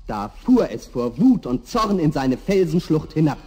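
A man narrating a scripted fairy tale for a 1960s audio play in an expressive storytelling manner. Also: on the high side, normal speed, in a large hall.

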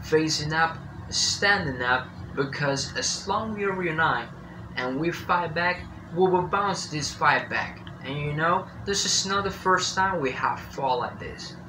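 A man's voice: a little high, lively intonation, unhurried. Young man from Colombia, rallying, echoey noisy room. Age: young adult. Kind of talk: recorded video message. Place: indoor room with blinds.

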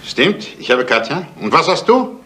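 An actor playing a smug, menacing crime boss in a 1970s radio drama, mock-friendly and taunting. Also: male voice, on the high side, very animated, moderate pace, bright, forceful tone, slight background noise. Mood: smug menace.

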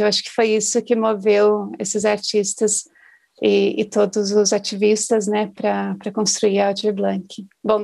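Woman, thirties, academic talk on a video call, gravelly fry, flat intonation, small home room. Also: mid-range voice. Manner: thoughtful, with tag "né?". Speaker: postdoctoral researcher.

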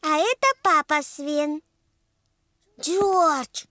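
A woman's voice: high voice, drawn-out words, pushed and bright, dry recording, clean studio sound, exaggerated voice. A woman voicing a bossy, cheerful little girl piglet in a children's cartoon. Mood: self-important play-acting.

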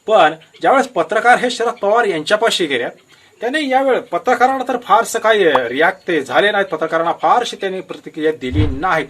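A man's voice: high voice, animated, fairly quick, a bit bright, slight background noise. A man with a Maharashtrian Marathi accent giving unscripted political commentary to viewers, explaining events in an emphatic storytelling way with rhetorical questions.

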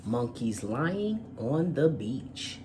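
Man hosting storytime, clear background noise. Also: mid-range voice, big pitch swings, drawn-out words. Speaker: host of a children's read-aloud channel. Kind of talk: storytime read-aloud and channel chat.

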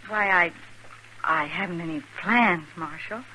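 An actress playing a polite, reserved widowed nurse in a 1950s radio western. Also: female voice, lowish voice, a little slow, bright, forceful tone. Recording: noisy old radio broadcast recording.

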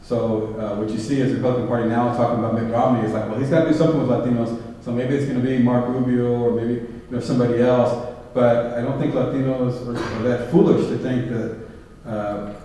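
A man's voice: medium pitch, dark tone, a little hiss or hum. A man giving a public talk from a podium in a large hall, speaking thoughtfully with many fillers and restarts. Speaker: guest speaker. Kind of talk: public talk with audience questions. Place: large hall with round tables. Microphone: podium mic, filmed from far back. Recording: echoey hall from far off.

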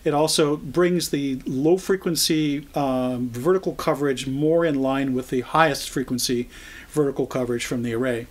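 Older American man explaining products, conversational and halting. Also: medium pitch, steady tone. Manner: conversational, halting, thinking as he goes. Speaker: product trainer. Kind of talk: informal product explainer to camera.

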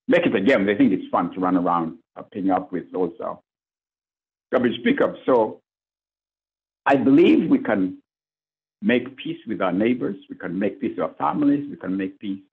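A man's voice: on the low side, gravelly, slightly rough, phone-quality sound. A man from Uganda, a priest speaking on a conference panel over a video call, earnest and list-like with fillers. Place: plain indoor room, video call. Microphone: video call webcam.

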